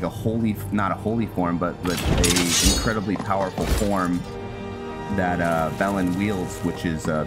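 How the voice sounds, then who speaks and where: A man giving casual roleplay game commentary, halting as he thinks aloud. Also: sits low. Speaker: gameplay host and roleplayer. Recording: loud music behind the voice.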